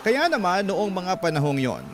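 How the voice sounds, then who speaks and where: A man's voice: sits high, very animated, moderate pace, faint music behind. An actor narrating as a young man confiding his life story in a radio drama letter. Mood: earnest. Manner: earnest, confiding.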